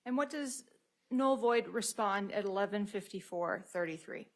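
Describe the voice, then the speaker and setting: A woman's voice: mid-range voice, normal speed. A woman working as an attorney, questioning a witness in court in a methodical, procedural way.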